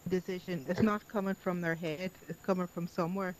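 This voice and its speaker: High-voiced man explaining rulings earnestly. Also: little pitch movement, fairly quick, a little hiss or hum, rough recording. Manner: earnest, explanatory, frequent affirmations. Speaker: speaker explaining Islamic rulings. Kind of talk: discussion in a virtual chat room.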